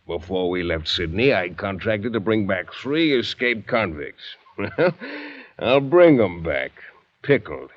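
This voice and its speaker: An actor playing a greedy, callous schooner captain with smug offhand cruelty in an old-time radio drama. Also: male voice, fairly low pitch, big pitch swings, slow, heavily acted. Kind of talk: golden-age radio drama acting. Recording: muffled vintage radio recording.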